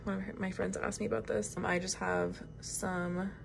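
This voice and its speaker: A young woman with a raspy voice chatting casually about books and coffee at home, rambling with lots of "like". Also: medium pitch, little pitch movement, moderate pace, a little hiss or hum. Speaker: book vlogger. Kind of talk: casual vlog chat. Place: home, bedroom and kitchen.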